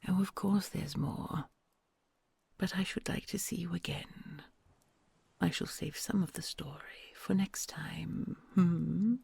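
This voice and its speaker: Whispery breathy dragon queen, woman doing her, real slow and gravelly, super dry close sound, kind and doting. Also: fairly low pitch, no room sound, full character voice. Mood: tender, teasing. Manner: soft hushed storytelling, affectionate and gently teasing. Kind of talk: ASMR audio roleplay.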